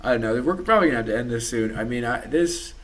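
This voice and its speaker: A man's voice: medium pitch, expressive, quick pace, a bit bright. Rambling, swearing young podcast guy. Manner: rambling, swearing, laughing often. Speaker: podcast host. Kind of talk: casual profane reaction chat.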